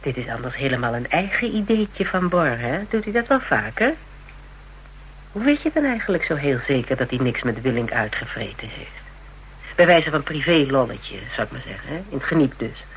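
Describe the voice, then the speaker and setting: A man's voice: mid-range voice, sing-song, moderate pace, fairly bright tone, poor audio. A man acting in a Dutch radio drama as a level-headed, sardonic character in a tight spot, speaking in a clipped, probing way. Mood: dry, needling. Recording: hissy, humming radio drama recording.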